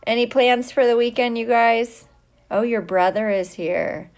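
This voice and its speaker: A woman's voice: on the high side, measured pace, darkish tone. A middle-aged woman, a teacher, chatting casually and playfully with young viewers on a livestream, drawing out her greetings. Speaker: teacher hosting a livestream for students.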